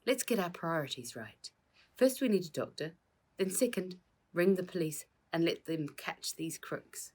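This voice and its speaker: A woman's voice: fairly low pitch, animated, a little slow, a little mellow, breathy edge. A woman reading the parts of plucky, earnest children in a storybook adventure, speaking with urgency. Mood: earnest, decisive.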